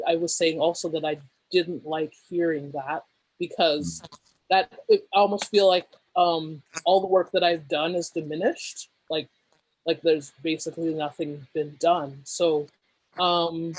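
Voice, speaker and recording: A man's voice: a little high, fairly even intonation, unhurried, no echo, rough recording. A man on a roundtable panel who organizes artists, speaking hesitantly and a bit defensively. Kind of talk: casual discussion.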